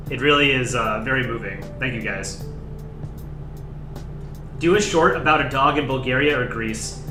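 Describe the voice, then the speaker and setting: A young man hosting a casual livestream, chatting in a relaxed, rambling way and thanking viewers by name. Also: medium pitch, roomy sound. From United States. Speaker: livestream host. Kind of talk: casual chat.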